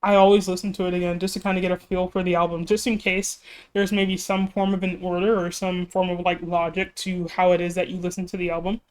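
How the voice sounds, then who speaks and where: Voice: medium pitch, flat delivery, fast, clean tone, poor audio. Chatty reaction YouTuber with a strained voice. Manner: rambling, chatty, laughs often; self-deprecating, apologetic. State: slightly losing voice, cracking. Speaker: music reaction YouTuber and streamer. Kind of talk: casual reaction video chat.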